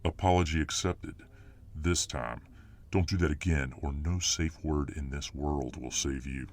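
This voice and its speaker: Man acting a very deep-voiced cocky alpha fireman. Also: measured pace, darkish tone. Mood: threatening. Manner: boastful, cocky swagger. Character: dominant muscular alpha fireman boyfriend, boastful, protective, hot-tempered. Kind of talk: ASMR roleplay audio drama.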